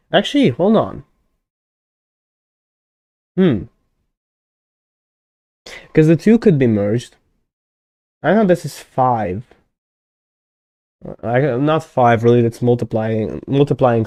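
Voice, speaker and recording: A young man whose first language is Hungarian chats on a live stream in a mellow, warm tone. The recording is dry and close, with no room sound. He thinks aloud, trails off with fillers and corrects himself often. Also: medium pitch, big pitch swings, slow, long pauses, no echo. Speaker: conlang streamer. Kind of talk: casual live-stream chat.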